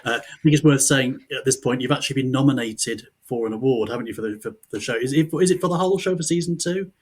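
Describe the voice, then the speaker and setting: A man's voice: mid-range voice, fast talker, darkish tone, husky. A man hosting a casual podcast interview, wry, repeating phrases and adding tag questions. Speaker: podcast host.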